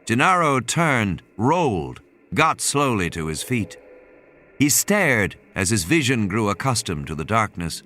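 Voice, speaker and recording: A man's voice: fairly low pitch, big pitch swings, a little slow, bright, forceful tone. A man reading a novel as dramatic scripted narration, with background sound behind him. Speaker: audiobook narrator. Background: ambient sound effects.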